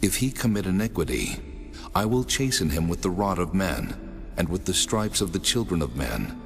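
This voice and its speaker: Man voicing a deep, reverberant God. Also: sing-song, creaky, exaggerated voice. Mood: solemn, commanding. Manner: solemn, measured, authoritative. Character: the Lord God, solemn commanding divine voice. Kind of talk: dramatized scripture reading. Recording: long cavernous reverb, music underneath. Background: music.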